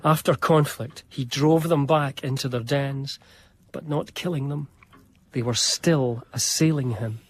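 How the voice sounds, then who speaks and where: An actor playing an earnest, anxious gentleman biographer, narrating a period radio drama in a formal, reflective way. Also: medium pitch, a little slow, natural voice. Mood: sombre, regretful. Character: earnest, anxious, devoted gentleman biographer recalling his friend.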